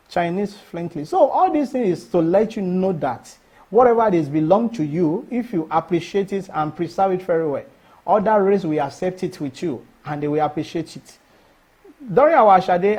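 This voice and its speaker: Nigerian man, middle-aged, Yoruba first language; high, mellow voice, earnest interview guest. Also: husky. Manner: earnest, emphatic, repeats and restarts phrases. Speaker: cultural festival organizer. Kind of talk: interview answers.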